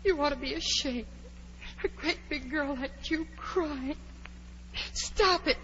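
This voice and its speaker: A woman's voice: sits high, slow, a bit bright. A woman playing a polite, dreamy, easily flustered young girl who talks earnestly and theatrically to herself in a 1940s radio drama. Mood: fretful, puzzled. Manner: earnest, theatrical self-talk. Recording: dull, noisy 1940s radio recording.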